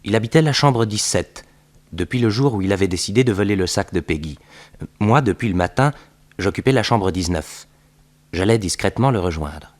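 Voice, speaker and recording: A fairly low male voice plays the loyal sidekick of a detective. He tells the case in a measured, matter-of-fact manner, as in a 1960s French radio serial. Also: expressive, darkish tone. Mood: measured storytelling. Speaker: narrator and sidekick.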